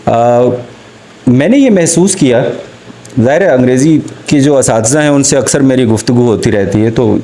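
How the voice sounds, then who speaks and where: A man with a Pakistani accent giving a formal academic literature lecture, halting and deliberate, with frequent pauses to find his words. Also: mid-range voice, mellow, slight background noise, rough recording.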